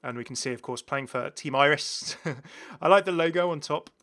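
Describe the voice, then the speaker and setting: A man's voice: fairly high pitch, normal speed, a bit bright. A man hosting a gaming video in a casual, chatty commentary, rambling and repeating his phrases. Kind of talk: casual commentary on game cosmetics.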